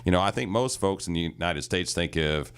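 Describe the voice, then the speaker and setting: Low-voiced male TV host. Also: a little fast, clear, resonant tone. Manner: friendly, prompting questions. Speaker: TV show host. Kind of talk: studio interview.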